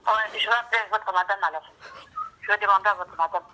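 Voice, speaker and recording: A woman's voice: mid-range voice, fast talker, pushed and bright. A middle-aged woman talking casually on a phone call, heard through a speakerphone. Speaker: mother taking a phone call. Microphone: phone loudspeaker, re-recorded by camera. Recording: noisy speakerphone call picked up in room.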